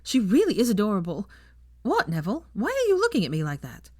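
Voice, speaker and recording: Woman voicing a brash, lively, chatty young witch. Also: mid-range voice, fast, no room sound, clean studio sound, pitched above the performer's own voice. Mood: chatty, upbeat. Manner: brash, breezy, swears casually. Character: blunt, cheerful, chatty young witch teacher with no filter.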